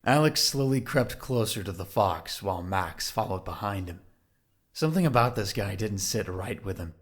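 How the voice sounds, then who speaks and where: A man's voice: lowish voice, expressive, moderate pace. A man narrating an audio drama from a script.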